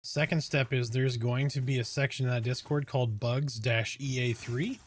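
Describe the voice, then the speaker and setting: A man with a calm, soothing voice chatting casually on a game stream, explaining things step by step in a relaxed, rambling way. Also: mid-range voice, normal speed, breathy edge. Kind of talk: casual live-stream chat.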